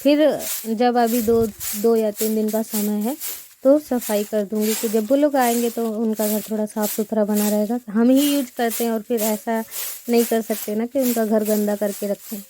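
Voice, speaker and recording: A woman's voice: a little high, steady tone, normal speed, warm tone, slight background noise. Chatty woman vlogging village chores. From India. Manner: chatty, rambling, addresses viewers as friends. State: sore throat. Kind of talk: casual chat to viewers while doing chores. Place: village house, sweeping and plastering with mud.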